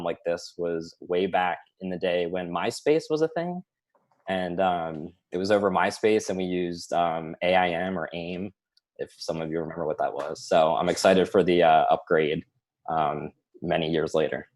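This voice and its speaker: A man's voice: sits low, no room sound. A man in his thirties from Philadelphia, introducing himself in an upbeat, chatty way. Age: 30s. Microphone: video call.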